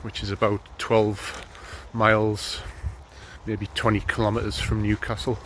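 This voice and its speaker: A man's voice: on the low side, a little slow, a little hiss or hum. A man in his thirties from northeast England, chatting casually and a bit ramblingly while out on a snowy morning run. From North East England. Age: 30s. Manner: relaxed, rambling, lots of ums. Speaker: running vlogger. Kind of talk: casual chat while jogging. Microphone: handheld camera while running.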